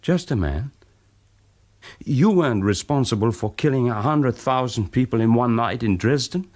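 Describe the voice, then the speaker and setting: An actor playing a reflective, persuasive half-German former wartime spy in a radio drama, speaking deliberately and haltingly. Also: male voice, medium pitch, lively intonation, a little mellow, lightly acted. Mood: earnest, pleading. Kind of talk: naturalistic radio drama acting.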